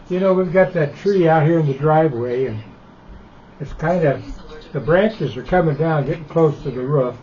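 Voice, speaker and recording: An older man talks in an easygoing, conversational way. His voice is mellow and sits a touch high for a man. The sound is dull and muffled, as if it comes over radio. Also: slight background noise. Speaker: ham radio operator. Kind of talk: casual radio chat.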